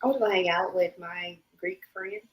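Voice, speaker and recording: A woman's voice: fairly low pitch, fairly even intonation, moderate pace. A woman guest on a podcast who gives dry, brief remarks in casual chat.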